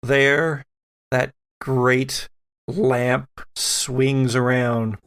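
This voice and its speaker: Male game master for horror tabletop play: slow, creaky, suspenseful narration with deliberate dramatic pauses. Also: medium pitch, flat delivery, a bit bright.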